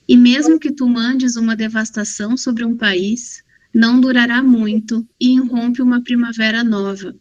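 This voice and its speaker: Woman, fairly high voice, steady prayer reader. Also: lo-fi sound. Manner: steady, measured recitation. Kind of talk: reading a prayer aloud.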